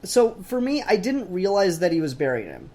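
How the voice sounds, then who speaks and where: A man's voice: high-pitched, huge pitch swings, moderate pace, dark tone. Blunt man chatting casually. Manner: opinionated, blunt. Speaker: podcast co-host. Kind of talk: casual comic book discussion.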